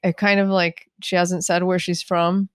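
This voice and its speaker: A woman writer and company co-founder chatting as a podcast guest, with quick asides and lots of "like". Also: fairly low pitch, little pitch movement, no echo, studio quality. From United States. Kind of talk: casual podcast interview.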